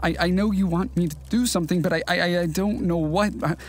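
Man playing anguished possessed lover, slightly high voice, halting stammer, horror radio play. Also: steady tone, soft background music. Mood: pleading, confused. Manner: halting, stammering, repeating words. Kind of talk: horror audio drama acting.

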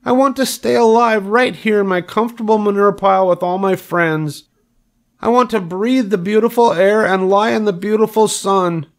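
Man voicing a high-pitched, panicky young storybook pig. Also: animated, vocal fry, fairly bright tone, heavily acted. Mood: desperate, tearful. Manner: wailing, dramatic, drawn-out cries. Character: panicky young male pig, childish and pleading.

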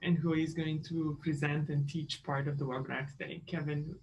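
Gravelly-voiced woman hosting a webinar. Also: sits low, little pitch movement, normal speed, a little mellow, lo-fi sound, slight background noise. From Romania. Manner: upbeat, chatty with fillers. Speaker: webinar co-host. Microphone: video call.

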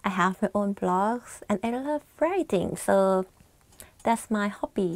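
A woman's voice: medium pitch, expressive, moderate pace, no room sound. A young woman from Thailand with a Thai accent, teaching phrases in a scripted video lesson on a lapel mic in a studio. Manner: clear, careful phrase-by-phrase teaching. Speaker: language teacher. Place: indoor studio against a plain wall.

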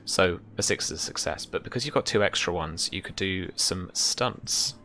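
Deep, bright-voiced young man running a game. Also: moderate pace. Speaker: game master. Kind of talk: casual tabletop game narration and rules explanation. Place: indoor room at home, over video call. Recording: faint background noise.

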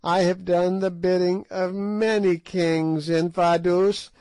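An ancient witch woman with a shrill, piping voice that climbs high above his usual register, gloating slowly over every word, as a male narrator puts her on. Her sly, wicked cackle comes out loud and drawn out, recorded close and dry with no room sound. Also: little pitch movement, no room sound. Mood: sneering. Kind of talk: audiobook character voice.

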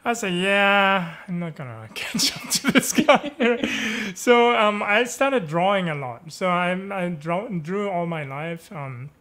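An easygoing man from Germany, German his first language, chats over a headset from home on a video call. His voice sits a little high for a man, and he laughs and says "right?" often. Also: animated, slow, hardly any pauses, bright, forceful tone. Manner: easygoing, chuckles often, many fillers and tag questions. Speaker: guest. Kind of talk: casual interview chat.

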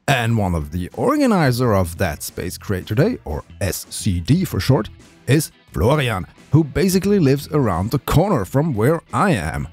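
An enthusiastic man tells a space story in a scripted vlog voice-over. His pitch rises and falls a great deal as he narrates. A little music plays softly beneath him. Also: huge pitch swings, normal speed, slightly rough. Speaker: space video creator.

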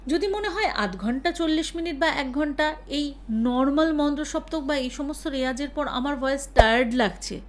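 A woman, a singing teacher calmly explaining practice routines to her students. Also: high voice, normal speed. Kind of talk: casual spoken lesson.

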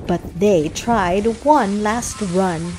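Female children's book narrator: expressive verse reading, unhurried pace, lively pitch, music underneath. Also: rough recording. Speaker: storybook narrator. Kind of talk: children's read-aloud, scripted narration.

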